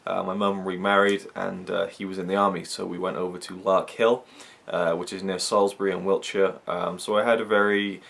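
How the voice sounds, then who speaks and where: Brief: young man from Wales, deep voice, rambling chatty vlogger. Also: level tone, brisk, vocal fry, few pauses, fairly bright tone. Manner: rambling, chatty, many fillers. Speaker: YouTube reviewer. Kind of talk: casual chat to camera.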